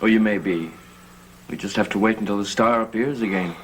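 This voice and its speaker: A man playing a solemn, occult-minded cousin in a gothic TV soap, speaking in a measured, formal way. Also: lowish voice, natural voice, low-quality recording. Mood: grave, reassuring. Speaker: actor in a TV serial. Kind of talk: gothic soap opera acting. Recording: old TV soundtrack, faint noise.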